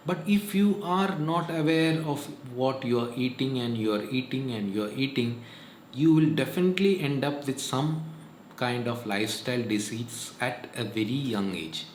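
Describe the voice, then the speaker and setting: A man's voice: mid-range voice, lively intonation, moderate pace. A man, giving earnest, repetitive health advice in a casual vlog monologue. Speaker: vlogger. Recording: echoey room, faint noise.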